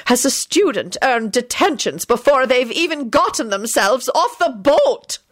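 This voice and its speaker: A woman's voice: a little high, sing-song, normal speed, a bit bright, pitched above the performer's own voice. A woman reading a story as a stern, icy elderly headmistress with a clipped, formal, severe manner. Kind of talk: audiobook character voice.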